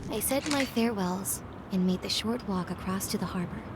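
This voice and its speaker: A woman's voice: medium pitch, moderate pace, lightly acted. A young woman playing a student who narrates her journey from home in a reflective, scripted storytelling manner for a game. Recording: music behind the voice. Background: music.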